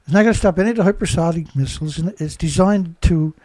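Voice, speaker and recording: A stuffed-up man runs his own news commentary, talking in an indignant, rambling way and firing off rhetorical questions. His voice sits fairly high for a man. The sound is close and dry, with no room around it. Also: fast, darkish tone, no echo. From Canada. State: stuffed-up nose. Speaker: host.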